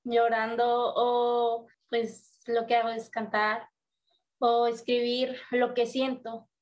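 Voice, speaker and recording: A woman's voice: on the high side, completely flat delivery, takes it slowly. A young woman answering interview questions hesitantly, with many fillers. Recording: dull lo-fi sound.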